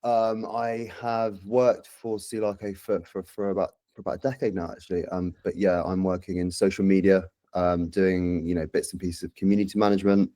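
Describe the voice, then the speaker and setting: A man's voice: deep voice, little pitch movement, moderate pace, few pauses, mellow, dry recording. A man in his thirties with a London accent, chatting casually as a podcast guest. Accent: London English. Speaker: podcast guest, social media and community manager. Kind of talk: casual chat.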